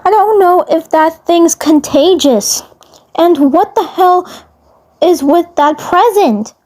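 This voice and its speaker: Woman voicing a high-pitched, panicky, stammering boy. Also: slow, darkish tone. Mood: frightened, urgent. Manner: stammering, repeats phrases. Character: frightened boy, panicky and bewildered.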